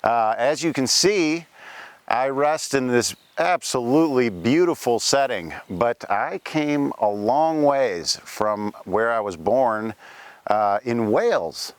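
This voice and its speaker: Folksy ghost of a frontier gold miner, played by a man; chatty storyteller, mid-pitched, clean dry delivery. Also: lively intonation, slow, clean tone, no echo. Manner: folksy storytelling, frequent "right?" asides and "uh" fillers. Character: ghost of a male Welsh-born pioneer gold miner. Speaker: costumed cemetery tour performer. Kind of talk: first-person historical reenactment. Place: outdoor cemetery.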